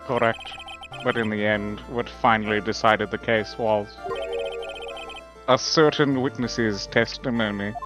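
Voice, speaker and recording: A man voicing a smug, formal young prosecutor, measured and declamatory, in a live game fan dub. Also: on the low side, fairly even intonation, over music, lightly acted. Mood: grave.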